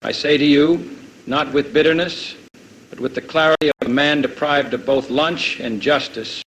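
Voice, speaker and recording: A man's voice: on the high side, close to an everyday voice. Man playing a pompous litigator over background noise. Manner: grandiloquent, indignant, speechifying. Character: pompous aggrieved male American litigator on holiday. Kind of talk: comedic radio play oratory. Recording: noisy ambient background.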